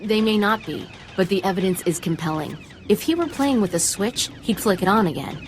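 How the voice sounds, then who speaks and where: An actress playing a keen field scientist in a video game, brisk and analytical in manner. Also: female voice, medium pitch, expressive, noisy background, natural voice. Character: keen woman field scientist studying alien creatures. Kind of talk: video game cutscene acting.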